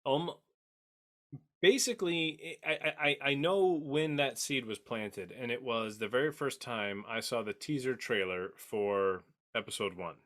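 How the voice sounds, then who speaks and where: A man hosting a casual group podcast, talking in a halting, jokey way. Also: mid-range voice, fairly bright tone. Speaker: podcast host.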